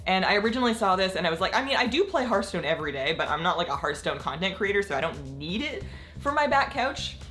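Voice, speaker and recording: Chatty, slightly croaky woman vlogger. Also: lowish voice, sing-song, quick pace, fairly bright tone, faint music behind. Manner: chatty, rambling, many "like" fillers, laughs often. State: slightly croaky, losing her voice. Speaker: gaming content creator. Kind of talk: casual vlog chat.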